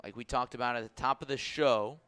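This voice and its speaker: A man's voice: mid-range voice, fairly even intonation, fairly quick, pushed and bright, smooth. A man doing live baseball play-by-play commentary for a radio broadcast.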